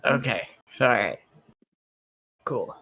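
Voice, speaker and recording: A man's voice: mid-range voice, animated, slow, creaky, bright, forceful tone. A man playing a tabletop roleplay game, tossing in casual, slangy, profane and teasing commentary.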